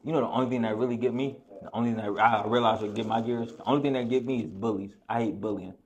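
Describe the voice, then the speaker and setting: A young man hosting a casual podcast, telling animated stories full of slang and profanity. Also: medium pitch, rapid-fire, muffled recording. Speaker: podcast host.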